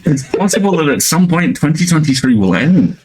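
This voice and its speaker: A man's voice: fairly high pitch, sing-song, a little fast, darkish tone, a little hiss or hum, poor audio. A man chatting casually as a livestream co-host, with a dry, jokey manner.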